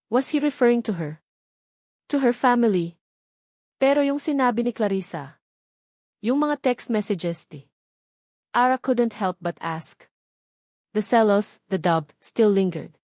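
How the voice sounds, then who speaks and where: A woman's voice: fairly high pitch, lively intonation, a little slow, long pauses, a little mellow, dry recording, clean studio sound. A woman with a Filipino accent giving a dramatic scripted narration of a romance audiobook.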